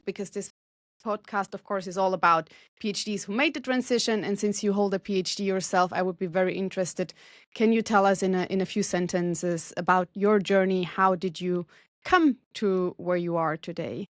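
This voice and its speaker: A woman with a medium-pitched voice hosts a podcast interview. She talks conversationally and affirms her guest as she leads into questions. The recording is dry, with hardly any room sound. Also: moderate pace, no echo. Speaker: podcast host, entrepreneur.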